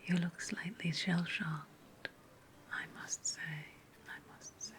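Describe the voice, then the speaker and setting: A woman playing a sly, condescending, seductive witch hostess of ancient Rome in a whispered ASMR roleplay, with breathy, lingering hums. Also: on the low side, fairly even intonation, takes it slowly, fairly bright tone. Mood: sly, coaxing.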